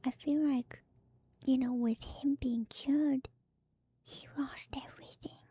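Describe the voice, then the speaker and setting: A woman streamer whispering softly and breathily in casual ASMR commentary, musing and trailing off between phrases. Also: high voice, fairly even intonation, slow pace, slightly rough, no echo. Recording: music playing behind the voice. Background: music.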